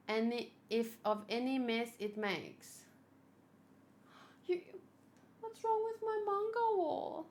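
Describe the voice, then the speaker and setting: A woman hosting a casual livestream, thinking aloud and exclaiming as she chats with viewers in an animated way. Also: fairly high pitch. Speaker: livestream host. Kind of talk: casual livestream chat, reading and answering viewers.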